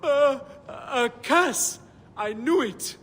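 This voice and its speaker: An actor playing an anxious, superstitious villager of ancient Egypt in a video game, speaking in a fretful, hesitant way. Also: male voice, very high-pitched, animated, very slow, fairly bright tone, in a large hall, exaggerated voice. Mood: alarmed. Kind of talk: video game drama acting.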